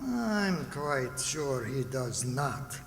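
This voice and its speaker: A man playing a formal, condescending psychiatrist who lectures in measured phrases in an old-time radio drama. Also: mid-range voice, very animated, gravelly, soft background music, exaggerated voice. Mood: lecturing. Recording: echoey hall, background noise.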